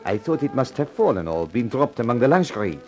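Brief: male actor for an excitable French designer, French accent, earnest and theatrical, very animated delivery, vintage radio mystery with music behind. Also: mid-range voice, quick pace, lightly acted. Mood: grave. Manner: foreign phrasing, earnest, theatrical. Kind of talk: 1950s radio drama acting.

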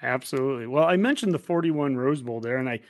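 A man's voice: mid-range voice, lively intonation, fast talker, dry recording, studio quality. An American man in his forties chatting casually as a sports show host, talkative and rambling. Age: 40s. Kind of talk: casual interview chat.

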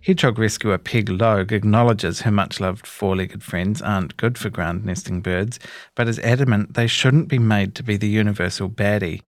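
A man's voice: fairly low pitch, moderate pace, no room sound. A man reading a scripted news feature as narrator.

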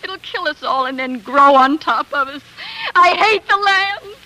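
An actress playing a tender, weary young pioneer wife in earnest, emotional 1940s radio drama style. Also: female voice, high-pitched, expressive, measured pace, pushed and bright, full character voice, rough recording. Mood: anguished. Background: music.

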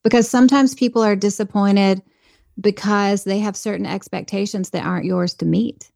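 A woman's voice: medium pitch, fairly even intonation, normal speed, vocal fry, dark tone, no echo, studio quality. A woman guest on a podcast interview, talking earnestly and reflectively about faith and leadership. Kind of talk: conversational interview.

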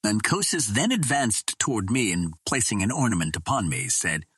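A man's voice: fairly low pitch, very animated, normal speed, fairly bright tone, breathy edge, no echo, clean studio sound. A man reading a first-person adventure novel aloud as scripted audiobook narration. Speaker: audiobook reader.

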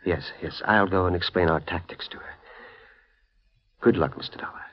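A measured old-time radio doctor. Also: male voice, deep voice. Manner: measured, hesitant, formal. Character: calm, measured male doctor. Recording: dull old radio recording with music.

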